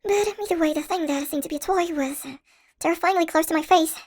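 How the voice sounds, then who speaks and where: An anxious, tiny college girl, played by a woman for an audio roleplay. Her voice sits extremely high and young. She talks in a chatty, expressive way, hesitating as she goes. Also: quick pace. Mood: uneasy recounting. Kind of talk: first-person audio roleplay, conversational acting.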